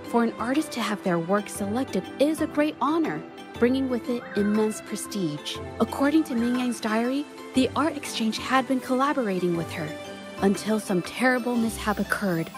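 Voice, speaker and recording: A woman's voice: a little high, animated, moderate pace, music in the background, natural voice. A woman voicing a shy, earnest, self-doubting young woman painter in a video game, hesitant and apologetic. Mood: troubled, thoughtful. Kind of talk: dramatic game voice acting.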